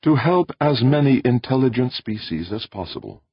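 A man voicing a calm, ancient alien being who speaks in a flat, intoning way. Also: medium pitch, moderate pace, husky, dry recording, pitched above the performer's own voice. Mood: solemn. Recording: dull dry recording.

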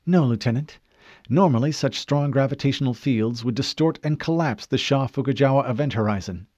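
A man voicing a calm, precise female shipboard AI in an audiobook, with formal technical phrasing. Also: medium pitch, brisk, no room sound, highs cut off, pitched above the performer's own voice, lightly acted. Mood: composed, precise. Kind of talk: audiobook character voice.

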